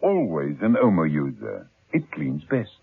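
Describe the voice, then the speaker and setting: A man reading a vintage radio commercial with an upbeat, persuasive sales pitch. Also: huge pitch swings, a little slow, creaky, breathy edge, no echo. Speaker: radio ad announcer. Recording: dry, tinny old radio recording.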